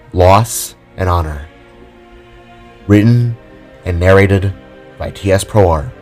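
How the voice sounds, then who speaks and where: A man narrating a scripted audio drama as a dramatic storyteller. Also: sits low.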